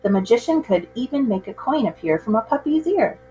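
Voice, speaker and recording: A woman reading a picture book aloud to children in an expressive, measured storytelling style. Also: a little high, highs cut off, slight background noise.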